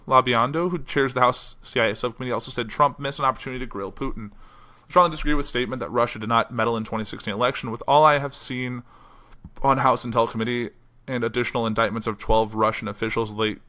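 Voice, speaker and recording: Male podcast host, quick and bright, a bit hoarse, casual news reader. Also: medium pitch. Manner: reads quotes in a flat newsy voice, then chats loosely with fillers. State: losing his voice. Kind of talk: solo news podcast, reading articles aloud. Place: house.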